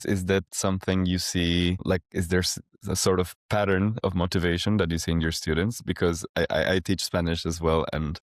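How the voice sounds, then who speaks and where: Low, even-voiced male interviewer. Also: a little fast, no echo, studio quality. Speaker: interviewer, language teacher. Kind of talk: casual interview questions.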